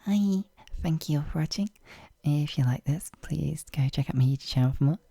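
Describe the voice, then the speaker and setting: Dark, creaky voice giving a channel sign-off. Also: mid-range voice, normal speed, no echo.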